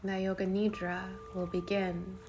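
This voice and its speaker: A woman guiding a yoga nidra meditation in a soothing, unhurried way, speaking in short phrases with long pauses. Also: mid-range voice, flat delivery, a little mellow, slight background noise. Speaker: meditation guide.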